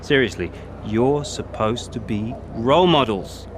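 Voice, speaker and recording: A man's voice: mid-range voice, a little slow, background noise, lightly acted. An actor playing a smug, cocky young racing driver who taunts his rivals sarcastically. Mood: mocking.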